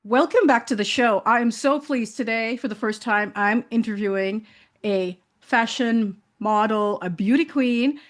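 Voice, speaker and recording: A woman's voice: on the high side, measured pace, a little hiss or hum. A woman hosting an interview show, introducing her guest in a chatty way with many fillers and restarts. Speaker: talk show host.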